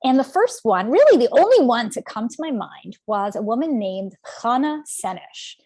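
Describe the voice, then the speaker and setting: Animated woman author speaking from home. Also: a little high, moderate pace, dry recording. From Canada. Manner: animated, storytelling, frequent ums and self-corrections. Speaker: author guest. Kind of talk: conversational interview answer.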